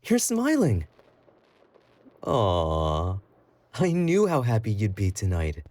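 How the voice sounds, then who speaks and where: Guy acting as creepy sweet yandere boyfriend, low breathy voice, talks super slow, possessive and cooing, roleplay audio. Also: wildly swooping pitch, darkish tone, heavily acted. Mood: adoring, tender. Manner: slow, intimate, cooing with giggly menace. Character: obsessive, possessive yandere boyfriend, doting yet menacing. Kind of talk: romantic roleplay audio drama.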